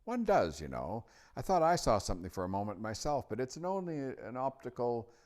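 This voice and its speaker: A man voicing a grumpy, excitable young boy in a storybook, blurting and blustering in exasperation. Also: mid-range voice, a little fast, pitched above the performer's own voice, lightly acted. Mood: exasperated, doubtful.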